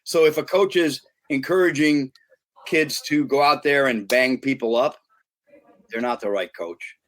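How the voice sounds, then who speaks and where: A man's voice: mid-range voice, unhurried, a bit bright. Earnest middle-aged man with restarts. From United States. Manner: earnest and emphatic, with frequent restarts. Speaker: guest, youth sports consultant. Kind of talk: podcast interview talk.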